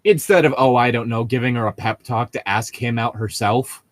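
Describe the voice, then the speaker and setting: A man's voice: mid-range voice, moderate pace. A man riffing casually with friends in a sarcastic, exasperated and sweary way. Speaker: co-host of a comedic group read-along.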